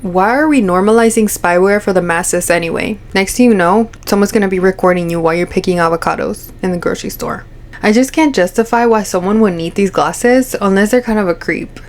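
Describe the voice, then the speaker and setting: A woman's voice: mid-range voice, a little fast, a little hiss or hum. A young woman giving casual opinion commentary, opinionated with sarcastic asides. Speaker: commentary YouTuber.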